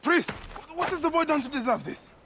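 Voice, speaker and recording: A man playing a stern, vengeful ancient Egyptian Medjay protector in a video game, solemn and deliberate in delivery. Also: very high-pitched, animated, background noise. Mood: angry. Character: vengeful Medjay protector and grieving father, stern but tender. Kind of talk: dramatic video game acting.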